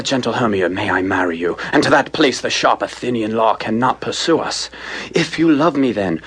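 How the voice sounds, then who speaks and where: A man's voice: mid-range voice, slightly rough, lightly acted. An actor playing an ardent young Athenian nobleman in love, speaking Shakespearean verse earnestly in an audio theater production. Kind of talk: full-cast Shakespearean audio theater acting.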